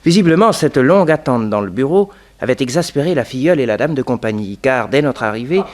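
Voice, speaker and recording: An actor as a composed storyteller, giving scripted narration in a 1960s French radio detective drama. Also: male voice, medium pitch, animated, quick pace, natural voice. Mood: narrating.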